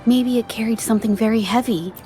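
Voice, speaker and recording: A woman voicing a gentle, thoughtful young female painter in game dialogue, speaking softly and carefully. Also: a little high, quick pace, music in the background, natural voice. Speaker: game character.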